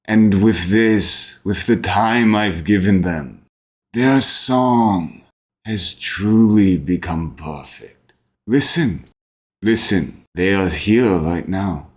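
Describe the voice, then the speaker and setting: A man voicing a calm, grandiose lich in a fantasy tabletop game, speaking with solemn, measured persuasion. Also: sits low. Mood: persuasive, assured. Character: calm, grandiose male lich promising escape from death.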